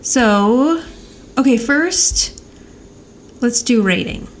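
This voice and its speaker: A woman's voice: on the high side, expressive, extremely slow. A woman co-hosting a podcast, chatting casually as she recaps a television show. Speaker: podcast co-host. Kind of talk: casual TV rewatch chat.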